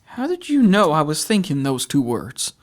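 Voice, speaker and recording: A high-pitched male government aide played by a man in an audio drama. He is formally polite and fussy, an officious official who is easily flustered. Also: wildly swooping pitch, normal speed. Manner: formal, polite, fussy, faltering when challenged. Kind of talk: fan-made audio drama acting.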